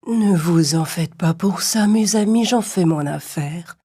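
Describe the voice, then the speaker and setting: A woman voicing a calm, polite, self-assured female tortoise with a husky voice in an animated children's story. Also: lowish voice, unhurried. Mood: reassuring. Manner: courteous, confident.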